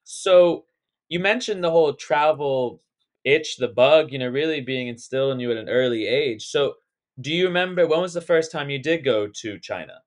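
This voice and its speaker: A man's voice: mid-range voice, moderate pace. Laid-back young man hosting a podcast. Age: young adult. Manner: laid-back, slangy, loose and rambling. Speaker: podcast host. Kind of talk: casual podcast interview.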